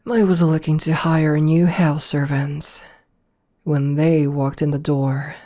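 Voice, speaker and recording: A woman playing a possessive, seductive rich CEO hypnotist in an ASMR audio roleplay, telling her story deliberately and with self-assurance. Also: deep voice, little pitch movement, mellow. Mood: smitten, possessive. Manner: slow, deliberate first-person storytelling. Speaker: voice actor.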